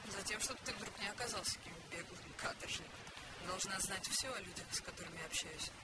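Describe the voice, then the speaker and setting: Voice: medium pitch, fast talker, heavy background noise, natural voice. An actor playing a bossy, anxious employer in a radio drama, speaking in an insistent, questioning way. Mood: controlled, knowing. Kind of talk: modern Russian radio drama dialogue. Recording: loud music under dialogue.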